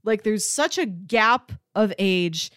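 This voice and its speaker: A young woman talks casually as a podcast co-host, thinking out loud in an animated, reflective way. Her middle-pitched voice moves around a great deal, and the sound is dry, with hardly any room to it. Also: slow, clear, resonant tone, no echo. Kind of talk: casual film discussion.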